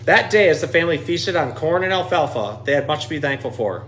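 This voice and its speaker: Higher-voiced man reading a storybook, noisy recording. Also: fast talker. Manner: expressive, dramatic dialogue readings. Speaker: storyteller reading a picture book aloud. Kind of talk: animated children's storybook reading.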